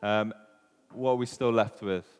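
A man's voice: on the low side, fairly even intonation, unhurried, smooth. A man with a Welsh accent giving an informal sermon, talking casually and putting questions to the congregation. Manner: chatty, asks the room questions, many ums. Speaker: preacher. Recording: long reverb of a hall.

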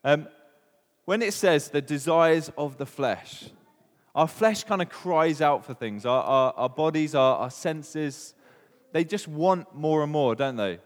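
A man's voice: a little high, animated, a little slow. A man with a Welsh accent giving an informal sermon, talking casually and putting questions to the congregation. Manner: chatty, asks the room questions, many ums. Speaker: preacher. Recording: long reverb of a hall.

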